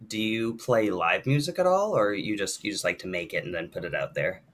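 A friendly male host leads a relaxed interview in a fairly low voice. He sounds encouraging and often hesitates with small fillers. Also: fairly quick, a little hiss or hum. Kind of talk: casual interview chat.

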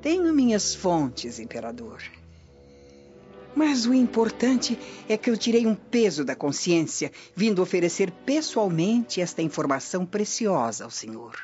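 A woman's voice: fairly low pitch, sing-song, measured pace, warm tone. A woman with a Brazilian accent playing a scheming, self-assured Roman noblewoman in a radio soap, formal and ingratiating. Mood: smooth, insinuating. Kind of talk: radio soap acting in Portuguese. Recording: muffled radio drama with music underneath.

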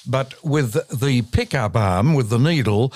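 A man's voice: mid-range voice, animated, a little slow, darkish tone, dry recording. Older Australian man telling dry stories. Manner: dry, understated storytelling. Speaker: podcast co-host, veteran broadcaster. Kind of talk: casual reminiscing chat.

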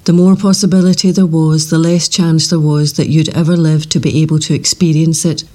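A man reading a comic science-fiction story aloud in a steady, unhurried, wry narrating voice. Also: on the high side. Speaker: narrator reading a submitted manuscript. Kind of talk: measured, dry sci-fi comic narration.

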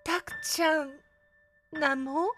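An actress playing a gentle, hesitant woman who runs a food truck in an audio drama, speaking politely and tentatively. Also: high-pitched, fairly even intonation, takes it slowly, faint music behind, heavily acted. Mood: nervous, tender. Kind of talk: Japanese audio drama acting.

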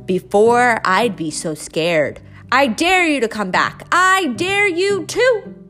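A woman's voice: fairly high pitch, very animated, slow, a bit bright, soft background music, pitched above the performer's own voice, heavily acted. A woman doing a boastful show-off mouse. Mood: scoffing. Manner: scoffing, swaggering. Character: boastful big young male mouse, reckless show-off.

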